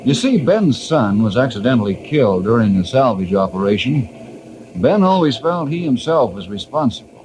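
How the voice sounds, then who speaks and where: An actor playing a friendly, steady ranger leader in an old time radio drama, explaining things calmly. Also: male voice, mid-range voice, normal speed, slight background noise, close to an everyday voice, poor audio. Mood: friendly, explanatory.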